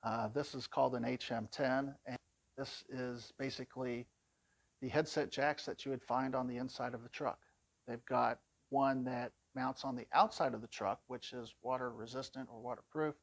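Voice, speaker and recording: A man's voice: medium pitch, steady tone, normal speed, gravelly, dry recording. A man giving an informal product walkthrough in a plain-spoken, conversational way, explaining things as a demonstrator.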